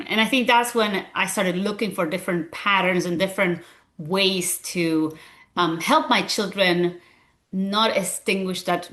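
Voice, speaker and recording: Earnest Argentine-accented woman, animated. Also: mid-range voice. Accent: Argentine Spanish. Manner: animated, earnest, frequent fillers. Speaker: guest, school founder and physician. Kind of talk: conversational interview.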